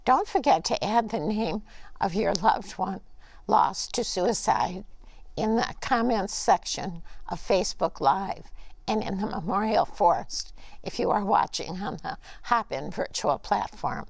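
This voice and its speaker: A woman hosting a conference, reading a prepared introduction of the next speaker in a measured, earnest way. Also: mid-range voice, animated, vocal fry, dry recording, rough recording. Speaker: conference host. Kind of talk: scripted introduction.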